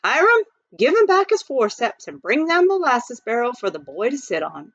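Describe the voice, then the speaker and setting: A woman's voice: fairly high pitch, very animated, moderate pace, fairly bright tone, no echo, voice pushed up, full character voice. Woman voicing a blustering frontier justice man. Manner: blustering, folksy officialdom. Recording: dull lo-fi recording.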